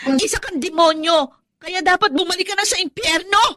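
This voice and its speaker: A bitter young woman in a Filipino radio drama. Her voice is high, with a Filipino accent, and she speaks as a wounded, scornful daughter who will not forgive. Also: normal speed, sharp tone. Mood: angry, scornful.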